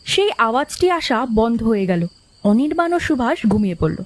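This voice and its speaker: A woman's voice: on the high side, big pitch swings, moderate pace. A woman giving scripted narration of a ghost story as its narrator.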